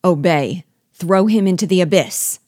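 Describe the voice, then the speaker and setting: A woman's voice: sits low, fairly even intonation, unhurried, a little mellow, no room sound, clean studio sound. A woman voicing a vengeful dwarf sorcerer in an audiobook, commanding and passionate, with a raised voice. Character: vengeful dwarf sorcerer, furious man hurling curses. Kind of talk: dramatic audiobook character reading.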